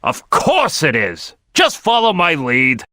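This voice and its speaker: Brash crocodile team boss for a game: gruff, boisterous, forceful, swooping delivery, very dry recording. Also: male voice, on the high side, huge pitch swings, slow, dry recording, full character voice. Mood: bossy, assured. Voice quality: gruff, gravelly. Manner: loud, boisterous, bossy. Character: big brash male crocodile detective, gruff team leader. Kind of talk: video game character acting.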